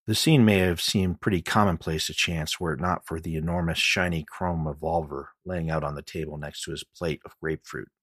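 Male audiobook narrator, low pitch, scripted mystery storytelling, dry recording. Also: steady tone, a little fast, hardly any pauses, fairly bright tone, dry recording. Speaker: author reading his own audiobook.

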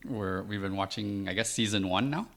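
Middle-aged man, deep-pitched, relaxed chatty guest, hesitant. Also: moderate pace, creaky. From Vietnam, raised in Oregon, US. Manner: relaxed, many ums, chuckles. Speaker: guest, host's father. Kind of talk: casual interview.

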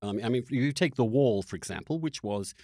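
A man's voice: mid-range voice, animated, brisk, darkish tone, no echo, studio quality. A man hosting a political podcast, challenging his guest in an emphatic, incredulous way. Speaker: podcast host. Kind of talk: combative political debate.

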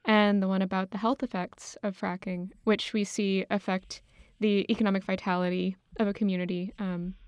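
A woman's voice: medium pitch, flat delivery, moderate pace, a little mellow, smooth, no echo, clean studio sound. A woman co-hosting a podcast, discussing news in an earnest, explanatory, slightly tentative conversational way. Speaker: podcast co-host.

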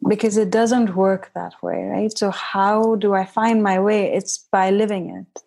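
A woman from India speaks as a podcast guest at a slow, unhurried pace. Her talk is loose and reflective, dotted with "like" and easy laughter, and her pitch sits in a middle range. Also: fairly even intonation, darkish tone. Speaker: podcast guest, healing facilitator. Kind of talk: casual podcast conversation.

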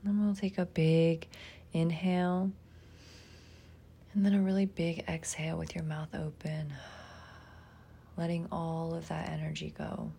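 A woman leading a guided meditation in a soft, soothing manner, pausing often and trailing into breathiness. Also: low-pitched, flat delivery, gravelly, breathy edge.

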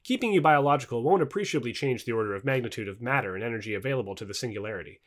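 A man's voice: medium pitch, animated, racing, pitched below the performer's own voice, close to an everyday voice. A man voicing a confident male futurist who patiently explains and corrects in an audio book dialogue. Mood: explaining.

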